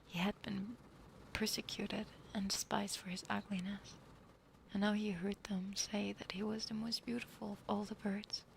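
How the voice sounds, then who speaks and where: A young woman streamer whispering softly, reading a story aloud and chatting with her viewers. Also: medium pitch, gravelly, slight background noise, poor audio. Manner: soft whispering, chatting with chat. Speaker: ASMR streamer.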